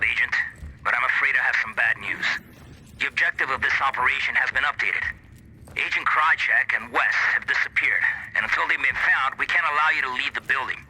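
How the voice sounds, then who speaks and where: An actor playing a hard-bitten, brusque army major in a video game, giving commanding military orders. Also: male voice, medium pitch, sharp tone, a little hiss or hum, low-quality recording.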